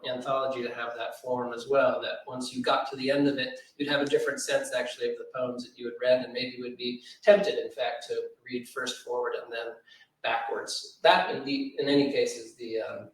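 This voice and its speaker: A man talking thoughtfully and haltingly to an audience about literature, rephrasing as he goes, as an editor on a panel. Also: medium pitch. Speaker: anthology editor on a discussion panel. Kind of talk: unscripted literary talk to an audience. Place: large indoor library room at a live event. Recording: echoey room, distant mic.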